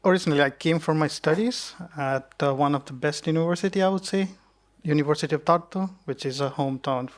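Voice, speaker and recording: A young man from Nepal, a guest speaker on a conference stage, chatting in a relaxed way, often laughing and searching for words. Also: on the high side, quick pace. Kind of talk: live stage conversation.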